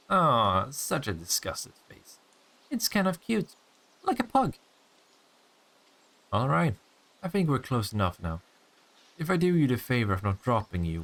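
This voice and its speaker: A man's voice: medium pitch, a bit bright. Man playing a smug, teasing vampire, swooping intonation. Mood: smug, flirtatious. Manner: sardonic, teasing, swearing, drawn-out taunts. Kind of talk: intimate audio roleplay acting.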